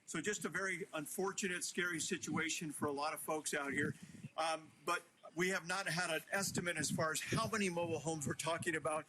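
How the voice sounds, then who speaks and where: A male TV field reporter with a high-pitched voice talks live from an outdoor street. He ad-libs as he walks, circling back over his points, with clear noise behind him. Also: fairly even intonation, fairly quick. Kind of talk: live ad-libbed on-scene news reporting. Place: outdoors, walking along a street.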